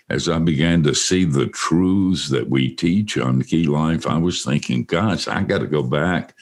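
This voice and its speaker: An older man with a deep voice hosting a casual studio chat, telling stories with self-deprecating humor. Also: vocal fry. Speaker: host.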